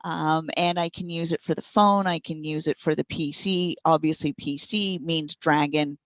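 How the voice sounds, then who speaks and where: A woman with a slightly low voice gives informal expert answers in a careful, explanatory way. She is on a wireless headset, and the sound is dry and somewhat muffled, like a band-limited internet call. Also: fairly even intonation, normal speed, no room sound. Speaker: speech recognition consultant and trainer being interviewed.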